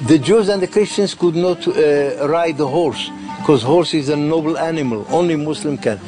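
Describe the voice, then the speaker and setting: Higher-voiced man from Morocco, explaining. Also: normal speed, warm tone, soft background music. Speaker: interviewee explaining history. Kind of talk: documentary interview.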